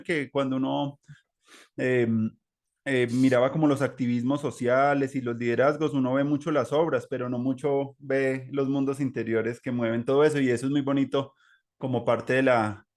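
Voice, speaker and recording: A Colombian man with a mid-pitched voice hosts a conversational interview. He speaks reflectively, circling through long, halting phrases with many small filler words. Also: level tone, a little mellow. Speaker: interview host.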